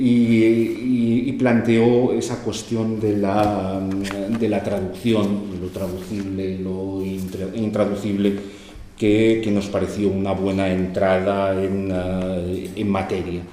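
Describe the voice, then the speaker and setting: A man's voice: lowish voice, little pitch movement, slow pace, warm tone. A man moderating a conference session and introducing the speaker, talking hesitantly with many fillers and restarts. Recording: echoey hall with faint noise.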